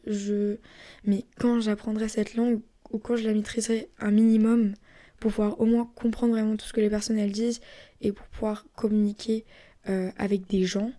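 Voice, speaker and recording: A young woman from France chatting casually and rambling on her own podcast, often dropping into soft whispery asides. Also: medium pitch. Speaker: podcast host. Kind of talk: casual solo podcast chat.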